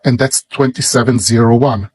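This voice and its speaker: A man with a Hebrew accent, a native Hebrew speaker, presenting and explaining in English with deliberate, halting phrasing in short pieces and a husky voice. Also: medium pitch, fairly even intonation, a little mellow. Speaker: presenter showing a book. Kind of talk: slow explanatory product presentation with read-out verses.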